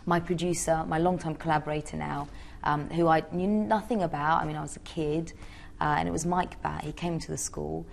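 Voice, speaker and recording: A woman's voice: low voice, fairly even intonation, brisk, creaky, slight background noise. A young woman from Georgia answering interview questions in a chatty, hesitant way with many fillers. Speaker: interviewee, singer.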